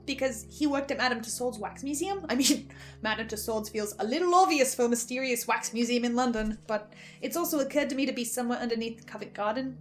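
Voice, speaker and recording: High-voiced woman giving analytical commentary. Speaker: host of a podcast listener recap series. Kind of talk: first-person analytical commentary on an audio drama.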